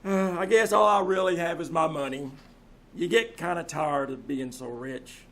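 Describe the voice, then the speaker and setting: A man playing a lonesome, boastful millionaire in old-time radio comedy, with a halting, drawn-out delivery, recorded live on a theater stage. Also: on the high side, a bit bright. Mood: wistful boasting. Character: lonesome boastful pickle millionaire, rambling and self-amused. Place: small-town theater stage, live performance.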